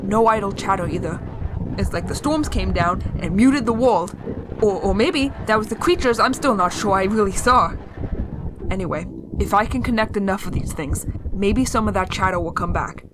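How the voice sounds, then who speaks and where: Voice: mid-range voice, noisy background, natural voice. An actor playing a lonely, reflective survivor logging a monologue in an audio drama, musing and hesitantly self-correcting.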